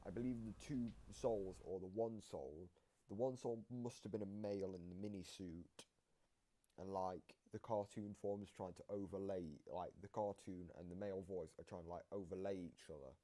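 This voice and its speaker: A man with a low-pitched voice co-hosts casual commentary. He explains things plainly and matter-of-factly, as someone analysing game audio with a friend. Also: normal speed, warm tone.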